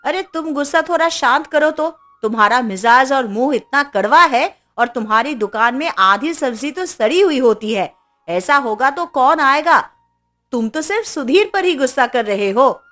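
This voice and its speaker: A woman playing a sharp-tongued, crafty trader's wife in a cartoon story, scolding and sly. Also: high voice, lively intonation, moderate pace, faint music behind.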